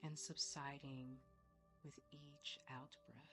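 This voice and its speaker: Lady doing a guided meditation, low voice, real slow w long pauses, quiet music behind. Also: flat delivery. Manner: soothing, measured, with long pauses. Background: soft background music.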